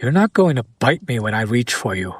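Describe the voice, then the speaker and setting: A man playing a smug, possessive demon in an intimate roleplay audio drama, teasing and deliberate with breathy pauses. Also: medium pitch, very animated, no room sound. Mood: wary, exasperated. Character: male demon buyer, smug, teasing, possessive, bemused by his captive.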